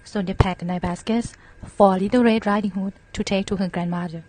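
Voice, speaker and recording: A woman's voice: mid-range voice, steady tone, moderate pace, a little mellow. A woman narrating a scripted children's story as moderator.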